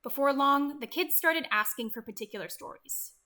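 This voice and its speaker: A woman narrating a scripted read-along for children as an expressive storyteller. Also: high voice, moderate pace.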